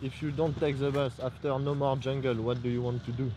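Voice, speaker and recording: A man's voice: medium pitch, flat delivery, fairly quick. A man interviewing people outdoors at a camp, asking short, prompting questions. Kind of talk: street interviews. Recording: crowd noise outdoors.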